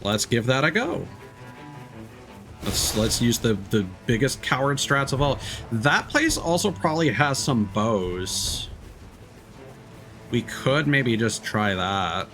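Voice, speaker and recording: A man's voice: mid-range voice, big pitch swings, slow, long pauses, a bit bright. A man who streams video games, giving casual, sweary live commentary as he talks to himself and the game. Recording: game music behind the voice. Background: game music.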